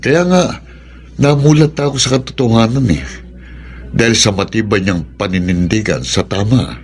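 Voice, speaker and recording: Deep-voiced, solemn, remorseful suitor played by an actor. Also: male voice, moderate pace, a little hiss or hum, natural voice. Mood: resigned. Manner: solemn, earnest. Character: remorseful, lovelorn man, solemn and resigned. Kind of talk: Filipino radio drama acting.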